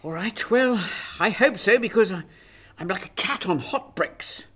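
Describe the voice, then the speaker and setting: Radio drama Prime Minister, acted: anxious, self-serving, very lively intonation. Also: mid-range voice, unhurried, breathy edge. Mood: anxious, self-pitying.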